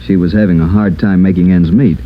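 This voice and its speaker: A man's voice: low voice, fairly even intonation, brisk, natural voice. An American man playing a polite, puzzled and protective young boyfriend in an old radio drama. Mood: earnest, concerned. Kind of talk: 1940s-50s radio drama acting. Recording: muffled vintage radio recording, faint noise.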